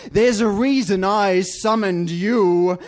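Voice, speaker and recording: Storybook villain, male reader: giant duck queen, scratchy and rumbling, grandiose, bossy and menacing, unhurried. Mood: imperious, threatening. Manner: grandiose, commanding rhymed speech. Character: huge imperious female duck tyrant, boastful and menacing.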